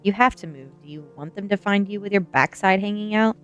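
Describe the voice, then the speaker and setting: A woman's voice: medium pitch, fairly quick, lo-fi sound, soft background music, pitched above the performer's own voice, natural voice. A woman reading the storybook dialogue of a bold, teasing twelve-year-old goth girl, confident and wry. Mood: impatient.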